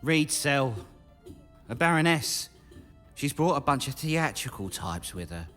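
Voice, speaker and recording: A woman's voice: very deep voice, very animated, unhurried, fairly bright tone, soft background music, heavily acted. A woman playing a scruffy, tipsy male prison turnkey, awkward and matey, in an audio drama. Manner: casual, awkward, working-class.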